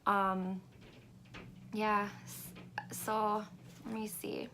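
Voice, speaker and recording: Woman vlogger, congested, chatty and halting, slight vocal fry. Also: mid-range voice, level tone, sharp tone, a little hiss or hum, rough recording. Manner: rambling, halting, lots of ums. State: congested, stuffy nose. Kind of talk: casual pregnancy update vlog.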